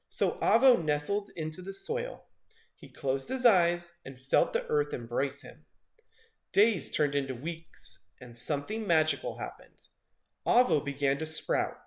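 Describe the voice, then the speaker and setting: Male storybook narrator, fairly high-pitched, highly varied intonation, dramatic children's read-aloud.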